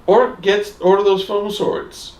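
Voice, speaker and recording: A man's voice: sits high, flat delivery, slow pace, recorded from a distance. A man co-hosting a fan discussion show with playful, teasing, theatrical banter. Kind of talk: casual joking banter. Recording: echoey room, off the mic.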